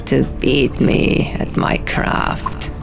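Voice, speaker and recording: A woman voicing a haughty, menacing male scribe god in an audiobook, with slow, pompous, riddling declamation. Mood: haughty, taunting. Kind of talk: audiobook character voice. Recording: music behind the voice.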